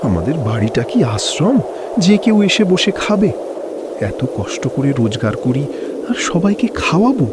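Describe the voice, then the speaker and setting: A man's voice: a little high, big pitch swings, a little slow, very noisy. A man voicing a stern, stingy village father who scolds indignantly in a fairy tale cartoon.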